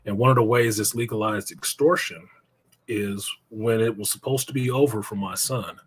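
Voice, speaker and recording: A man's voice: on the low side, normal speed, slight background noise. A middle-aged man speaking as an interview guest, telling his own story in a blunt, plainspoken way and cursing now and then.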